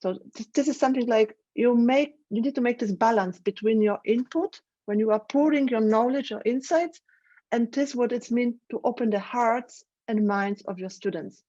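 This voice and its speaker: A woman with a Polish accent, a trainer giving a live online lecture, thoughtful and halting, often restarting her phrases. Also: a little high, mellow, dull audio. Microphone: video call.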